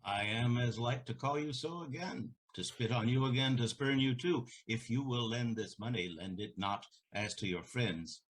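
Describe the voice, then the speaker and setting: An actor playing a proud, scornful elderly merchant in a Shakespearean audio drama, with a haughty, declamatory delivery. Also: male voice, mid-range voice, moderate pace, hardly any pauses, slightly rough, natural voice, low-quality recording. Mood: scornful, defiant.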